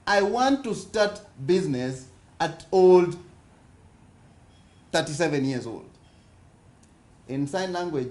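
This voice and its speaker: A Kenyan man giving an interactive seminar talk at a business forum, often asking the audience questions and repeating his points. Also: high-pitched. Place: conference hall.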